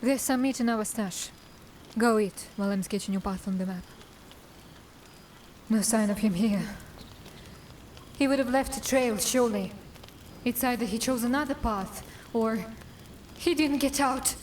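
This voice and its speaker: A woman playing a wry, guarded dark elf assassin in a cinematic fantasy drama, with a musing, teasing manner. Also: a little high, unhurried, pauses often, slight background noise, lightly acted. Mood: brooding.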